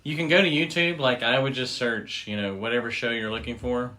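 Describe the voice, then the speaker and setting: A man's voice: medium pitch, fairly even intonation, brisk, sharp tone. A man chatting casually as the host of a podcast. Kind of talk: casual chat.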